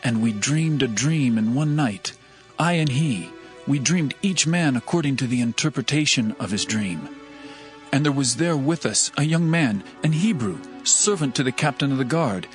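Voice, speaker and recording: A man playing a deferential chief butler to Pharaoh, recounting in formal, measured archaic language for a Bible audio drama. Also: fairly high pitch, lightly acted.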